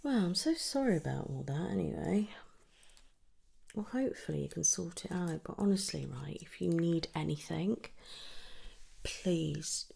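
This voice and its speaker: A British woman doing a gentle, chatty ASMR hairdresser roleplay, speaking softly and reassuringly close to the mic, often slipping into a breathy whisper. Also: on the low side, animated, unhurried. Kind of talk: ASMR roleplay, one-sided casual chat. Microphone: close ASMR microphone.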